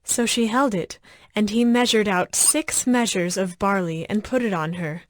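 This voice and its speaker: A woman's voice: medium pitch, normal speed, dry recording. A woman giving a scripted narration of scripture as the narrator of a dramatized audio production.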